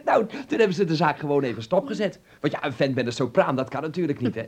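An actor playing an eager, bossy young son who dreams of films, in a Dutch radio play, with a husky, creaky voice and an instructing, fervent manner. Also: male voice, a little high, lively intonation, fast talker. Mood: excited. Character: eager, bossy young film-mad son, stage-struck.

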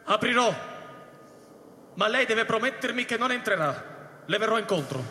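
High, tense, guarded scientist. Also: male voice, expressive, moderate pace, sharp tone. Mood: wary, tense. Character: wary, secretive male scientist bargaining behind a door. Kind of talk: radio drama acting. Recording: echoey hall with long reverb.

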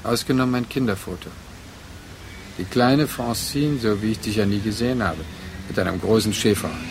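An actor in a literary radio drama playing a brooding man who addresses his remembered former lover in halting, reflective phrases. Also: lowish voice, natural voice. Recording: clear noise behind the voice.

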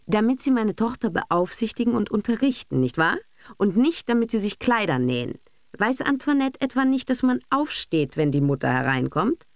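A woman reader plays an imperious bourgeois mother from a literary audiobook. She scolds in a stern, cutting and sarcastic manner, pitched well above the narration. The recording is close and dry. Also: sing-song, moderate pace, dry recording. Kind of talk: audiobook character acting.

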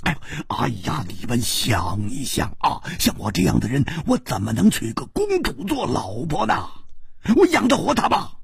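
A man's voice: huge pitch swings, slow, slightly rough, no room sound, studio quality, full character voice. A man voicing a flustered, panicky young swordsman who stammers in comic distress. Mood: frantic. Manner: stammering, squealing high.

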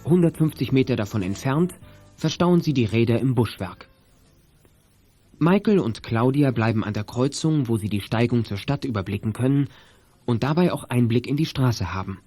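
A man narrates a children's radio drama in a scripted storytelling style. His voice is dark and husky, of medium pitch, with light music behind it. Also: lively intonation, normal speed.

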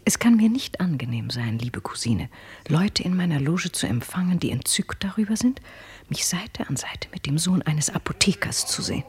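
An actress playing a haughty, commanding marquise in a radio drama, speaking in a measured, imperious and aristocratic way. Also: female voice, on the low side, lively intonation, slightly rough. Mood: cutting, insistent. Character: haughty, commanding Parisian marquise, scornful and cutting. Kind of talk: literary radio drama acting.

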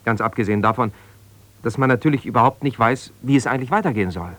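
An actor playing an aggrieved, jealous husband, an ambitious lawyer, in a naturalistic radio drama, talking in a halting, colloquial, self-justifying way as if confiding on the phone. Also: male voice, medium pitch, fairly bright tone, dry recording, close to an everyday voice. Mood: bitter, helpless.

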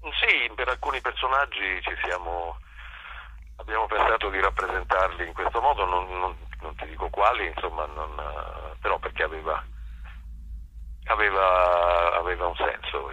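Very deep, husky man. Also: pushed and bright, rough recording. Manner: halting, searching for words with many repetitions. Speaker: guest, actor and playwright. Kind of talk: conversational interview, explaining his work.

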